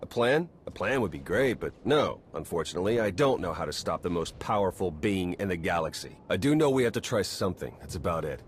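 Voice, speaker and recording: A deep-voiced, world-weary secret agent in a video game. His delivery is casual, clipped and sardonic, with faint noise behind. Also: lively intonation, fairly quick, few pauses. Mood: exasperated. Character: wry, weary, improvising male spy. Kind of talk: video game cutscene acting.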